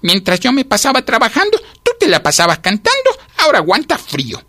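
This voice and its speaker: A man's voice: on the high side, wildly swooping pitch, a little fast, sharp tone, no room sound, pitched above the performer's own voice, full character voice. A man voicing a bossy, grumpy, hardworking female ant in a children's story, scolding with indignant emphasis. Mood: indignant, possessive.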